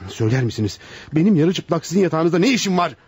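An actor playing a flustered, indignant psychologist trapped by blackmail, stammering out protests in a radio theater style. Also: male voice, fairly high pitch, sing-song, fast talker, darkish tone, husky. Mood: anguished outrage. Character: panicked, outraged male psychologist caught in blackmail trap. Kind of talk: Turkish radio theater acting.

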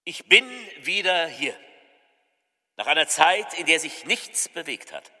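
A solemn political orator in a stage-like spoken drama, with a husky voice that swings widely in pitch. He declaims deliberately, and the sound rings with long hall reverb. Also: expressive, sharp tone, full character voice. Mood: urgent. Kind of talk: theatrical recitation of collaged speeches.

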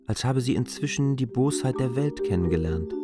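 A man's voice: mid-range voice, expressive, moderate pace. A man narrating a literary radio drama from a script. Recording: studio voice over loud music. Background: loud music.

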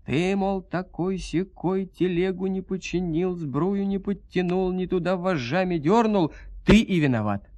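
A man's voice: high voice, a little slow, a little mellow, pitched above the performer's own voice. A man voicing a spiteful, scolding peasant wife in a fairy tale, rattling off accusations. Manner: nagging, accusatory, rattling off complaints.

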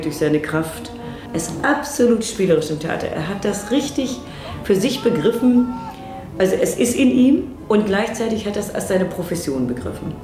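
A middle-aged woman speaks in a thoughtful, explanatory interview style. Her voice sits a little low and rings in a roomy space, with music clearly behind her. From East Germany. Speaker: founder of an inclusive theater group. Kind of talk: reflective documentary interview.

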